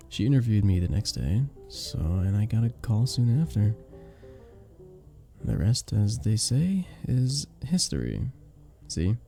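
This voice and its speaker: A man voicing a charming, modest vampire bartender in a soft-spoken ASMR roleplay, with a gentle, polite and slightly formal manner. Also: lowish voice, vocal fry. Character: charming, sweet, modest young-looking male vampire bartender. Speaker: voice actor in an audio roleplay.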